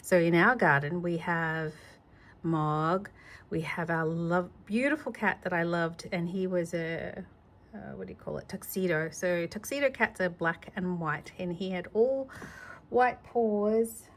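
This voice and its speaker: A woman reading a children's storybook aloud in an informal, gentle, conversational way, adding personal asides for a child. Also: lowish voice, unhurried. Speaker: storybook reader.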